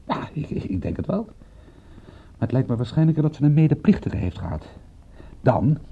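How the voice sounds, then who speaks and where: A man playing a cynical, excitable private detective in a radio drama, speaking in a wry, emphatic, husky voice. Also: mid-range voice. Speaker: detective and narrator. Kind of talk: radio drama acting and narration. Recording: dull, muffled old radio recording.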